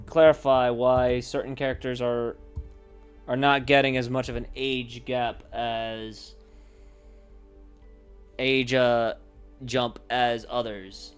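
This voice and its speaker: Man, slow pace, smooth clear voice, casual streamer thinking aloud, halting delivery, faint music behind. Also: medium pitch. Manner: halting, pausing to think and correct himself. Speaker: livestreamer brainstorming a roleplaying campaign.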